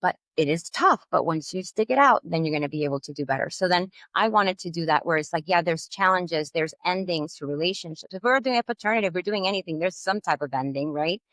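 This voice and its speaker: A woman from Puerto Rico, a guest attorney, talks in a lowish voice. She explains her points with energy and colorful images. The recording is dry and close. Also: fast talker, hardly any pauses, no room sound. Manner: animated, explains with vivid metaphors. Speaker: guest, family law attorney. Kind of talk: conversational interview.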